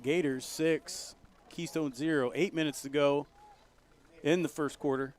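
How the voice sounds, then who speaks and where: A man calling a local high school football game play by play, folksy and rising to loud excitement on big plays. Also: a little slow. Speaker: play-by-play commentator.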